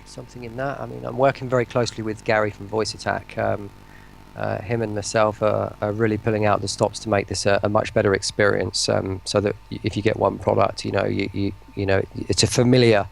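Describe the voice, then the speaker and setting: A man's voice: lowish voice, flat delivery, fairly quick, creaky. A man in a casual online interview, rambling and often breaking off to search for words. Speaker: voice pack producer, interview guest.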